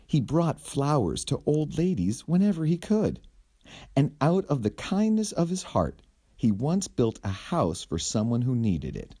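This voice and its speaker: A man narrates a children's storybook in an animated, theatrical way, with pitch that swoops up and down. His pace is unhurried and the tone is soft-edged and mellow, with a slightly husky grain. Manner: theatrical, deliberate phrasing. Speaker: storyteller reading a picture book aloud.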